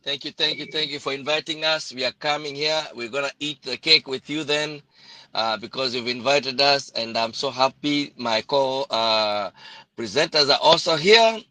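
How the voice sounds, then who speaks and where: A man's voice: medium pitch, moderate pace, bright, forceful tone, dry recording. A man from Kenya introducing himself on a live stream, jovial, with playful asides. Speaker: co-presenter.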